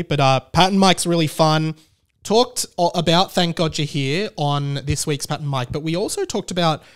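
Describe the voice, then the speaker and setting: A man's voice: a little high, clear, resonant tone. An Australian man hosting a podcast solo, chatting casually in an informal, rambling way. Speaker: podcast host.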